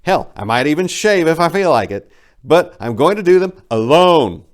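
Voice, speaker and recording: A man playing an exasperated, bossy story hero who shouts emphatic orders in a comic dramatic reading. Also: fairly high pitch, very animated, moderate pace, voice pushed up. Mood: angry, ordering.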